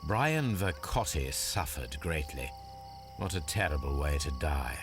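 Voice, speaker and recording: An actor playing a shrewd, composed Victorian gentleman detective with an English accent, formal and measured, in a video game drama. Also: extremely low pitch, big pitch swings, over music, exaggerated voice. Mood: pensive, observing.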